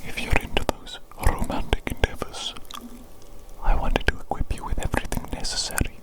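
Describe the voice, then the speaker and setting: A woman voicing a doting evil doctor in an ASMR medical roleplay, in a breathy, unvoiced whisper, soothingly repeating short phrases. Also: a little fast, close to an everyday voice. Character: doting evil doctor examining the creature they created. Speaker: ASMR performer.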